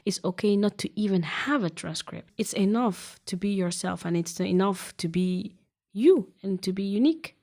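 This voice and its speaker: A woman's voice: mid-range voice, lively intonation, normal speed, darkish tone. A woman from the Netherlands, a youth activist giving interview answers. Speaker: youth activist, podcast co-host.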